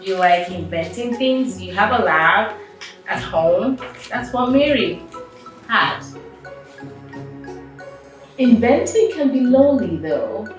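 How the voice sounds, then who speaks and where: A woman reads a storybook to children in an expressive, slow-paced way, pausing for chatty questions. Her voice sits at a middle pitch. She sounds away from the mic in a very echoey room. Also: far from the mic, faint music behind. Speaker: host of children's read-aloud channel. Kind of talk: storybook read-aloud with chatty asides to children.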